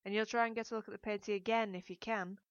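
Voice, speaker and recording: A woman reader plays a teasing, amorous gentleman in an audiobook. He speaks formally, with wry persistence and coaxing charm. The sound is dry and slightly dull. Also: medium pitch, fairly even intonation, quick pace, dry recording, lightly acted. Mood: wry, reluctant.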